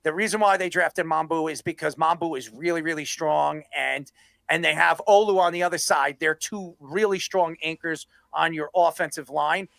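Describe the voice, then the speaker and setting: A man's voice: a little high, moderate pace, vocal fry, a bit bright, no echo. A man hosting a sports talk show, debating emphatically and often repeating himself for emphasis.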